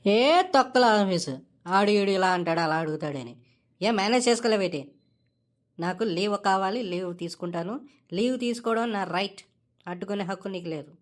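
A woman's voice: medium pitch, lively intonation, normal speed, natural voice. A woman voicing a brash, entitled and scheming young male office worker in a comedy cartoon, talking casually and cockily. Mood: demanding, irritated. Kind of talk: Telugu comedy cartoon dialogue.

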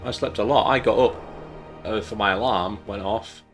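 Brief: stuffy-nosed guy in his thirties, lowish voice, casual rambling streamer talk, faint music. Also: moderate pace. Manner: rambling, chatty, jokey asides. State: congested, losing his voice. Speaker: game streamer host. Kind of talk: casual live-stream chat while playing.

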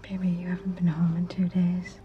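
A woman in her thirties playing a tender, teasing pregnant wife in a screen drama, speaking softly and intimately. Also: lowish voice, monotone, brisk, muffled recording, soft background music, lightly acted. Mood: gently reproachful. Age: 30s. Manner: soft, intimate, murmured bedside talk. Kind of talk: naturalistic screen drama acting. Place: quiet bedroom, indoors.

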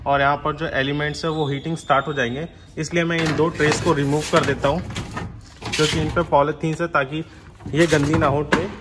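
A man's voice: a little high, fairly bright tone, slight background noise. A man giving an unscripted, step-by-step product demonstration, mixing in English technical terms. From India.

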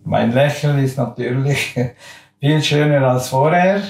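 A man in his sixties gives a halting testimonial as a patient. He speaks slowly with a creaky voice, in a roomy-sounding clinic with faint noise behind him. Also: medium pitch. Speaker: dental patient. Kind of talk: testimonial to camera. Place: small dental clinic room. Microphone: lapel mic.

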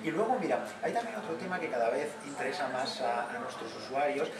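A man's voice: mid-range voice, a little fast, over a noisy crowd. A man in his forties hosting an interview in a restaurant dining room, enthusiastic and full of fillers. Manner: warm, enthusiastic, with many fillers. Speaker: host. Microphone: far camera mic. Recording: echoey room from far off, with music behind.

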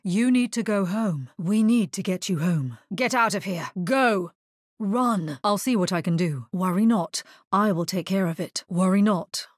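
An actress playing a grave, resolute necromancer in a dark fantasy video game, speaking scripted lines in a measured, husky voice. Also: female voice, on the low side, dry recording, studio quality. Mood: firm, reassuring.

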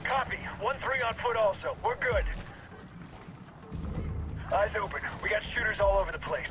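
An actor playing a male special forces operator, giving brisk tactical radio calls in a video game over loud gunfire. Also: high-pitched, lively intonation, heavy background noise. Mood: tense. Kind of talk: video game combat dialogue.

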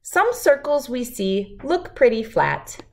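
A middle-aged woman reading a rhyming picture book aloud to children in an expressive, deliberate, lilting way. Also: fairly high pitch, vocal fry. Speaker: children's read-aloud host.

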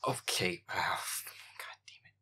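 A man's voice: a little slow, pushed and bright, in a whisper. A man voicing a feisty, foul-mouthed young boyfriend, grumbling and exasperated, in a gameplay audio roleplay. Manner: grumbling, profane, exasperated, often muttering under breath. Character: feisty foul-mouthed werewolf boyfriend grudgingly playing scary game.

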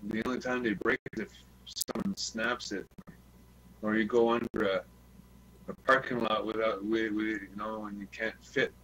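A man's voice: fairly low pitch, steady tone, normal speed, pushed and bright. A man chatting casually as a CB radio hobbyist, telling stories in a repetitive, sweary way on an online group call. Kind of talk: casual chat. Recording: noisy online voice chat with hum.

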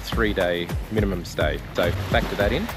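Brief: enthusiastic male travel vlogger, lowish voice, outdoors, loud music bed behind. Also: normal speed. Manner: enthusiastic, tour-guide like. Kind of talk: upbeat travel vlog narration. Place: outdoors on a tropical island resort.

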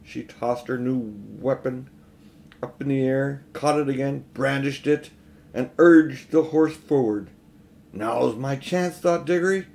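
A middle-aged man reading a children's storybook aloud in expressive narration. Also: mid-range voice, measured pace, creaky. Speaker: audiobook reader. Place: small room at home, indoors.

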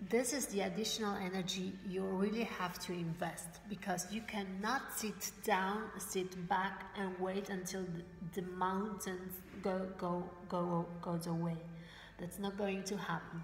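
A woman's voice: mid-range voice, vocal fry. Brief: woman, 40s, native Italian and German speaker; hesitant, word-searching motivational vlog to webcam; roomy living-room sound, faint background hiss. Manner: halting, searches for English words, many fillers and restarts; gestures with her hands while talking. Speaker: motivational vlogger for network marketers. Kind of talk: casual motivational talk to camera. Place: bright living room indoors.

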